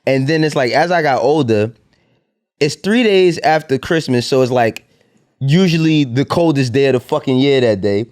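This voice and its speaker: A man's voice: mid-range voice, expressive, normal speed, a little mellow. A man in his thirties in casual chat, loose and swearing, often breaking into laughs. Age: 30s.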